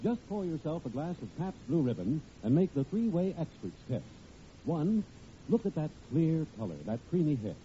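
A man's voice: fairly high pitch, sing-song, moderate pace, mellow. A man announcing in scripted 1940s radio advertising style, with salesmanlike emphasis. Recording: muffled old radio recording, faint noise.

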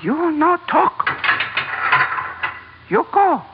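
An actor playing a terse, stern palace guard who speaks in clipped broken English in an old radio drama. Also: male voice, very high voice, big pitch swings, exaggerated voice. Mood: stern, commanding. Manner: clipped broken phrases, slowly delivered. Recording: tinny, noisy old radio recording.

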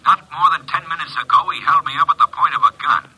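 Piercing telephone-voiced police inspector. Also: male voice, on the high side, fast talker. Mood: harried, urgent. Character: harried, gruff male police inspector on telephone. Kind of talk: classic radio drama acting. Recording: sharp, faintly noisy telephone line.

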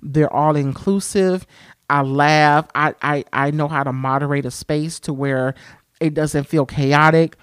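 A man with a high-pitched voice hosting a podcast, talking frankly and expressively with many "you know" fillers. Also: steady tone, measured pace, clear, resonant tone, no echo, clean studio sound. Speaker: podcast host. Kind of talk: candid solo podcast monologue.

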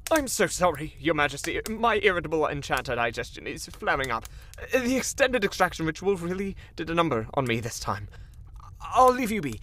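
Flustered, stammering enchanter minion, swooping pitch. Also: male voice, big pitch swings, brisk, a bit bright, a little hiss or hum. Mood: hesitant deference. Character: nervous, bumbling, obsequious evil enchanter minion. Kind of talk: fantasy audio drama acting.

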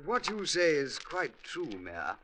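A man's voice: a little high, huge pitch swings, slow, pushed and bright, exaggerated voice. A man with a British accent playing a stuffy colonial policeman in an old radio drama. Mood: pitying, condescending. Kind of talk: 1950s radio drama acting.

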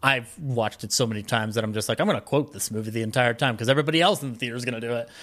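A man's voice: medium pitch, rapid-fire, a bit bright. An American man from Colorado chatting casually as a podcast guest, talking in a rambling, enthusiastic film-buff way. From Colorado, United States. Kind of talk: casual interview chat about films and his life.

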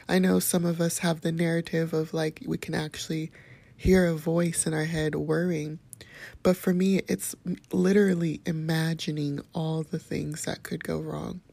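A calm, soft-spoken woman hosting a podcast, sharing a reflective personal monologue in a hushed, whispery way. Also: fairly low pitch, vocal fry. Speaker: podcast host.

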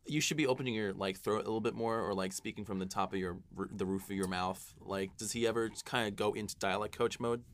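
Male podcast host. Slightly deep voice, informal interview questions in a conversational style. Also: fairly even intonation, quick pace, few pauses, no echo.

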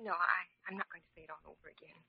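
A woman's voice: on the low side, steady tone, very fast, slightly rough. An actress playing a grateful, earnest young American mother in an old-time radio drama, hesitant and emotional. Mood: warm, shy. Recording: dull vintage radio recording.

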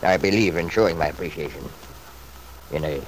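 A man's voice: low voice, brisk, lightly acted. An actor playing a stubborn, selfish middle-aged father in an old-time radio soap, blustering and self-justifying in an emphatic way. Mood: confiding, dismissive. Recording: noisy vintage radio drama recording.

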